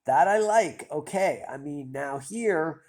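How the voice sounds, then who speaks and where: A man's voice: a little high, lively intonation, slow, darkish tone. Nasal, stuffy-nosed man musing aloud. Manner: self-deprecating, hesitant, rambling. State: stuffy nose from a cold. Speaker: stock-picking channel host. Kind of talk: casual thinking-aloud commentary.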